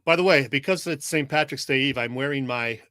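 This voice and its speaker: A man's voice: medium pitch, a little fast, bright, forceful tone, no echo, studio quality. A man co-hosting a casual sports podcast with a teasing, conversational manner.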